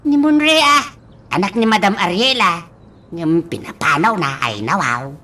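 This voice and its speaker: A woman playing a chatty, excitable household helper in an Ilocano comedy radio drama, exclamatory and theatrical, with an Ilocano accent. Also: medium pitch, unhurried. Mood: excited gossip. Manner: exclamatory, theatrical, repeats catchphrases.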